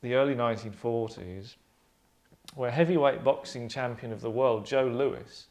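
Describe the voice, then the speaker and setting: A man in his thirties at a table read. His voice sits at an average pitch as he narrates the script or casually talks it over as its writer. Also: normal speed, a little mellow. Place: small living room, seated on sofas.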